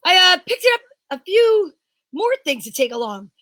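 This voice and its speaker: A woman's voice: very high-pitched, big pitch swings, normal speed, a bit bright, no room sound, exaggerated voice. A woman playing a jittery, irritable older father in an amateur radio drama, fussy and stammering.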